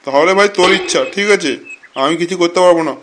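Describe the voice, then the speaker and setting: A man's voice: high voice, quick pace, noisy background, lightly acted. A man voicing a blunt, streetwise big-brother convict in a comic cartoon. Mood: matter-of-fact. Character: gruff, streetwise older-brother convict, teasing yet sentimental.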